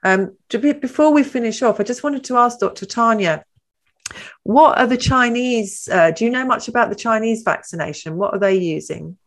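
A woman's voice: a little high, moderate pace. A woman hosting a panel discussion. She talks in a chatty way and often asks leading questions. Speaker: host.

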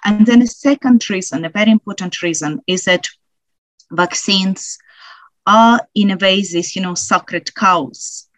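A woman in her forties speaks English with a Ukrainian accent, weighing each point and pausing often with fillers. Her voice sits at a middle pitch. The sound is dry and close, with no room around it. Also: dry recording, poor audio. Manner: thoughtful, hesitant with many fillers. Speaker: guest researcher. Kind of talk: panel discussion.

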